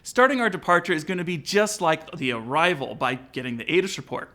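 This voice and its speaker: Guy with a slightly high voice narrating a how-to, informal, explains stuff like a pilot teaching. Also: fairly quick, pushed and bright. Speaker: pilot and flight instructor presenter. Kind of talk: instructional narration.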